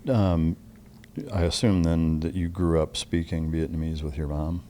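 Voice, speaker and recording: Extremely deep, mellow male host. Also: flat delivery, measured pace, a little hiss or hum. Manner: curious, searching for words while asking. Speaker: podcast host. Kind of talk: interview questions.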